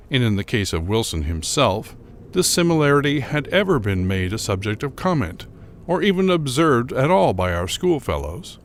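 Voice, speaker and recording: A male actor plays a troubled gentleman narrator of gothic horror, a man with a low voice that rises and falls widely. He recounts his past bitterly, in formal, deliberate phrases with a theatrical touch. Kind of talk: dramatic first-person reading of gothic horror fiction.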